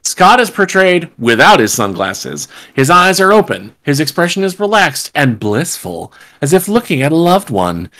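A woman reads stage directions in a very lively, swooping voice. She keeps breaking off with sarcastic, sweary asides, like a casual podcast co-host on a group call. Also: sits low, moderate pace, hardly any pauses. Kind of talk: informal table read, casual banter. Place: home rooms on a group video call.

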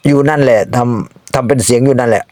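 A man narrates a folk tale in an informal, improvised way. His medium-pitched voice moves in halting, repeated phrases and adds spoken sound effects. The sound is dry and close. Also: fairly even intonation, darkish tone, no echo. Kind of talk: informal, improvised animal storytelling.

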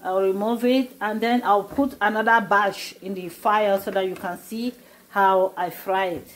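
A woman's voice: medium pitch, steady tone, measured pace. A middle-aged woman casually walking through a recipe in her home kitchen, explaining each step with many fillers. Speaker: cooking vlogger. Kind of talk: casual cooking demonstration.

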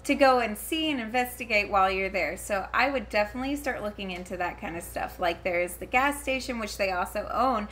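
A woman's voice: mid-range voice, brisk, few pauses, a bit bright, a little hiss or hum. A woman, chatting casually at home, rambling with lots of fillers and restarts and giggly asides. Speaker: vlogger reviewing a convention. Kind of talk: casual talking-to-camera chat. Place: home, her daughter heard elsewhere in the house.

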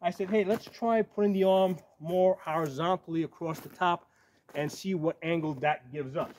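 Higher-voiced man, noisy outdoor recording. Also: moderate pace, darkish tone. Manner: explanatory, repeats and checks with "right?" and "okay?". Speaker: medieval combat fighter demonstrating shields. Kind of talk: casual hands-on demonstration to camera. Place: outdoors on a gravel yard by woods. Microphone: camera a few metres away. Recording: noisy outdoor camera audio.

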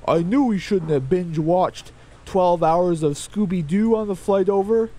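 Man doing Larry, whiny high-pitched chicken. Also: a little slow, warm tone. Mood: griping. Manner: whiny, griping. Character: whiny, complaining, nervous male chicken named Larry.

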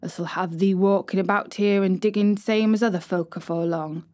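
A woman's voice: medium pitch, steady tone, normal speed, a little mellow, no room sound, lightly acted. A woman voicing a cheerful country boy with a Yorkshire accent who speaks in a stout, reassuring way.

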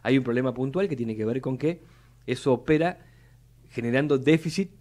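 A man's voice: medium pitch, normal speed, a little mellow. A young man with a Buenos Aires Spanish accent, speaking as a city legislator on a live radio interview in a studio, talking formally and often repeating words as he builds long arguments. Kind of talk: measured policy talk on live radio. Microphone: studio mic on boom arm.

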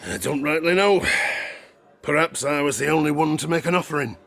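Gravelly, theatrical old sailor. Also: male voice, fairly high pitch, a little fast, fairly bright tone. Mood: haunted, hushed. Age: older. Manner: salty sailor's dialect, chuckling, theatrical asides. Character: grizzled old harpooner spinning a tavern sea tale, boastful, haunted. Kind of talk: dramatic audio drama storytelling.

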